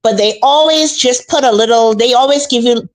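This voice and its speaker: A woman from the Bahamas, talking casually and calmly about faith, a little preachy and repeating her points. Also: fairly high pitch, normal speed. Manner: calm, preachy, repeats herself. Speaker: guest commentator. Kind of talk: casual faith-based chat.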